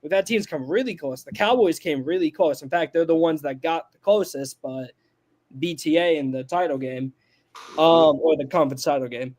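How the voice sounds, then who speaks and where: A man's voice: on the high side, moderate pace, darkish tone. A man in his twenties on a sports podcast, making his points emphatically and repeating them for stress. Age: 20s. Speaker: podcast panelist. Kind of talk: casual sports debate.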